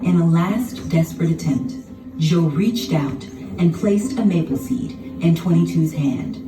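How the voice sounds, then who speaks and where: A woman narrating a children's storybook in an expressive, dramatic scripted style. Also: fairly low pitch, unhurried. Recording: roomy, distant sound with music behind.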